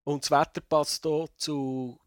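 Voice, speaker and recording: A man's voice: on the high side, little pitch movement, no room sound, clean studio sound. A man, co-hosting a casual game review chat, speaking thoughtfully with frequent halts and restarts. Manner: thoughtful, halting, many restarts. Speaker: video game reviewer, co-host.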